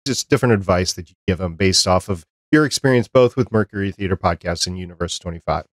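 Low-voiced middle-aged man hosting a podcast. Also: fast, dry recording, studio quality. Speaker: podcast host, interviewer. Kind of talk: conversational interviewing. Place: small home studio room, indoors. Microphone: close desk microphone with headphones.

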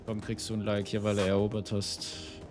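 A young man giving casual, rambling commentary while playing a shooter, with a slightly hoarse voice from a cold, swearing often and filling gaps with ums. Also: on the low side, monotone, moderate pace. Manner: chatty, swears often, rambling with many fillers. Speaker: gaming YouTuber. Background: game music and gunfire.

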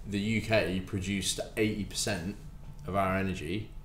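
Deep-voiced man, emphatic and restarting. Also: normal speed. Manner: animated, emphatic, repeats words as he restarts. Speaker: baker and bakery owner. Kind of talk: casual podcast interview. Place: bakery room with hard walls. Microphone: desk mic on stand. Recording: roomy echo, faint room noise.

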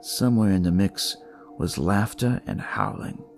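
A man narrating dramatic noir horror in the first person, with a deliberate, brooding delivery. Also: low-pitched, animated, a little mellow. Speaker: storyteller reading in first person as a detective. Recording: music behind the voice.

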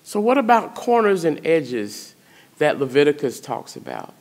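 A woman's voice: low voice, very animated, moderate pace, darkish tone. A middle-aged woman priest, preaching a sermon in a church sanctuary, speaking conversationally and putting questions to the congregation. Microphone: stand mic in front of pulpit.

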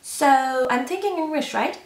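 Twenties girl vlogging in english, bit high voice, lots of hand waving, echoey room. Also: a little slow, heavy background noise. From Turkey. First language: Turkish. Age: 20s. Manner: animated, gestures a lot while talking. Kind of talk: casual chat to camera. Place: small room indoors.

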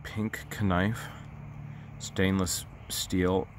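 A man in his thirties digging through a storage unit outdoors, talking excitedly to his phone camera in a casual vlog. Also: low-pitched, very slow. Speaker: storage unit treasure hunter. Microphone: handheld phone camera. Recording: noisy outdoor phone recording.

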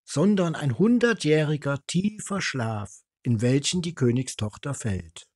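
A man's voice: on the high side, expressive, a little slow, darkish tone, no echo, studio quality, voice pushed up. A man voicing a kindly storybook wise woman with a solemn, declarative manner.

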